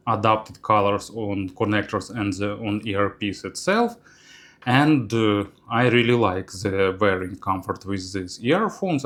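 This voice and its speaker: A man with a Ukrainian accent reviewing earphones and unboxing them, pausing often on "uh" fillers. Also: fairly low pitch, fairly bright tone. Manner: unhurried, halting, many "uh" fillers.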